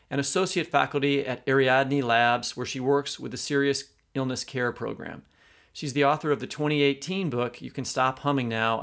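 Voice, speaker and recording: A man's voice: medium pitch, a bit bright. A middle-aged man with an American accent, co-hosting an interview podcast in an informal, rambling, conversational way. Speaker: podcast co-host.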